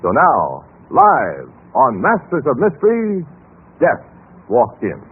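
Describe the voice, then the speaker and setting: Animated old-time radio announcer man. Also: medium pitch, takes it slowly, very noisy. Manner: formal, dramatic, polished. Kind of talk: mid-century radio announcing, scripted. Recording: narrow-band vintage radio broadcast.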